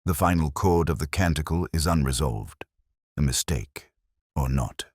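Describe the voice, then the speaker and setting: A man reading a scripted first-person detective story as its narrator, in a measured, reflective, literary manner. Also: rumbling low, lively intonation, breathy edge, no echo, clean studio sound.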